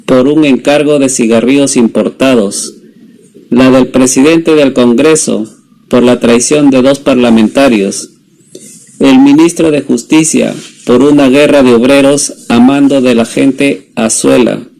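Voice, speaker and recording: A man's voice: medium pitch, fairly even intonation, unhurried, gravelly, a little mellow, poor audio. A young man, a student presenter, reading a scripted story summary as narrator. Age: young adult.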